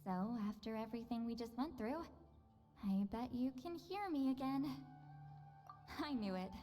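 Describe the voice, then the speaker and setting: A woman's voice: fairly high pitch, lively intonation, normal speed, mellow, lightly acted. A woman playing a proud, teasing young shaman in a video game, haughty and playful but easily flustered. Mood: smug, teasing. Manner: playful, haughty, hesitant when embarrassed. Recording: long reverb, faint music behind.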